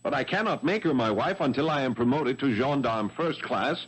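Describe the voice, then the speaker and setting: An ambitious, jealous young policeman in a vintage radio mystery. His pitch swings widely as he speaks in emphatic, dramatic phrases, excitable and intense. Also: normal speed, breathy edge. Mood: earnest, boastful. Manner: dramatic, emphatic delivery in short urgent phrases. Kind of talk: 1970s radio mystery acting.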